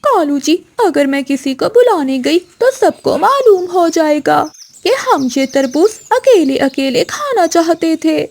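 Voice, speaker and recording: A woman's voice: very high voice, sing-song, a little slow, a little mellow, pitched above the performer's own voice, full character voice. A woman voicing a sly, bossy female crow in a children's cartoon, speaking in a persuasive, emphatic way. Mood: worried. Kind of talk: children's cartoon story acting.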